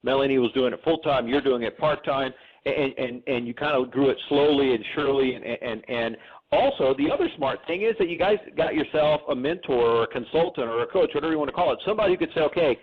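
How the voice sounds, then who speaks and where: A male radio host with an average-pitched voice, friendly and a little repetitive as he gets going. His voice sounds narrow and phone-like. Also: fast talker. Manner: friendly, repeats words when starting. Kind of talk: radio interview hosting. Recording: phone-quality radio audio.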